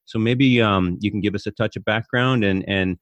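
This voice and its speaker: An American man hosting a podcast interview over a video call, speaking in an earnest, measured way. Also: lowish voice. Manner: earnest, measured, speaks slowly when reading out numbers. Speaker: podcast host.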